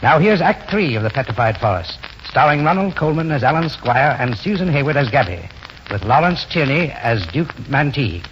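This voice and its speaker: A man's voice: mid-range voice, very animated, moderate pace, background noise. A man narrating as a radio announcer in dramatic, scripted 1940s style. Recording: dull 1940s radio recording, loud music. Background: loud music.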